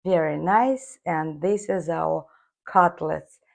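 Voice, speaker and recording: A woman with a hoarse, somewhat low voice talks slowly and easily from her home kitchen. She chats her viewers through each cooking step like a friendly host. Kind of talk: casual cooking demonstration.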